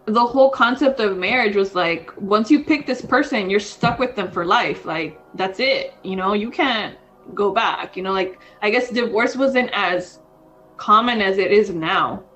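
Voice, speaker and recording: A woman's voice: medium pitch, unhurried, fairly bright tone, soft background music, poor audio. A woman in her thirties, co-hosting a podcast in casual chat, rambling with lots of fillers. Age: 30s. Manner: rambling, frequent fillers, says dude. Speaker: podcast co-host.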